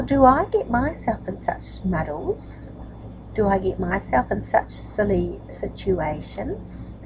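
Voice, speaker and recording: A woman's voice: mid-range voice, noisy background. An older woman talking to young children in a nurturing, grandmotherly way, as a storyteller reading books aloud. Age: older, grandmother's age. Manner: nurturing, grandmotherly, asks tag questions. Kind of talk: chatty address to young children, then read-aloud storytelling.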